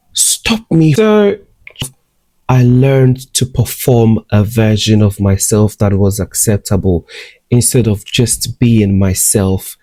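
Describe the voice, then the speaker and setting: A man's voice: on the low side, mellow, no room sound. A young man from Ghana, candidly and reflectively telling his life story to the camera at home. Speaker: vlogger. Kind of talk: personal storytelling vlog. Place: at home, in bed. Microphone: camera close up, talking to camera.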